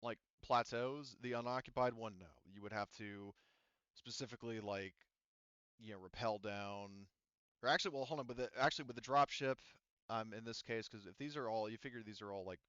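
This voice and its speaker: Man, low smooth voice, rambling game master narration, dull muffled mic. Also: fairly quick, a bit bright, no room sound. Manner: rambling, often losing his thread. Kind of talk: narrating an online tabletop game.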